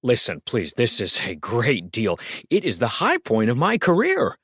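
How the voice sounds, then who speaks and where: A man's voice: mid-range voice, wildly swooping pitch, moderate pace, a bit bright, slightly rough, dry recording, clean studio sound, pitched above the performer's own voice, exaggerated voice. A male narrator voicing a genial, eager talent agent with pushy, wheedling showbiz patter in an audiobook. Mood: pleading. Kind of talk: dramatized audiobook reading.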